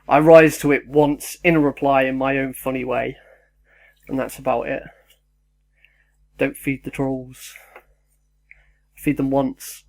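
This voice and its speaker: Young man, gravelly voice, middle pitch, casual rambling monologue, self-deprecating. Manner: chatty, sidetracked, self-deprecating. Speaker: YouTuber. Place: home kitchen.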